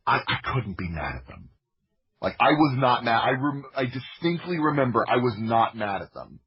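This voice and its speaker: A man from the United States telling personal stories casually as a podcast guest, in an informal, humorous way with a husky voice. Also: mid-range voice, very animated, normal speed, dull audio. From United States (Florida). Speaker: podcast guest, audio drama creator.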